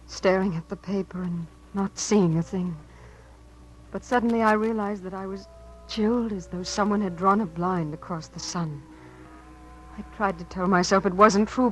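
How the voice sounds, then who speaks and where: An American actress playing a frightened yet defiant young niece in a 1950s radio drama, earnest and dramatic. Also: female voice, lowish voice, a little hiss or hum, natural voice, low-quality recording.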